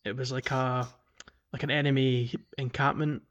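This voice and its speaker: A man in a relaxed gaming podcast conversation, with a mid-pitched voice. He talks in a chatty, enthusiastic way, as a host among friends. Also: normal speed, lo-fi sound. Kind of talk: casual video game chat.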